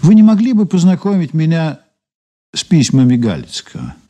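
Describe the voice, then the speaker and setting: An actor playing a polite, patient, probing investigator with a husky voice in a 1980s Soviet radio play. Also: male voice, a little high, big pitch swings, moderate pace, dark tone. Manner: polite, questioning, hesitant probing.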